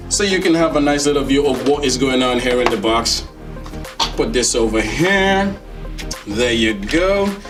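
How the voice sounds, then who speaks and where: A man giving casual, enthusiastic unboxing commentary as a tech reviewer, in a playful, slangy, joking manner. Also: medium pitch. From Netherlands. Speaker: tech reviewer, video host.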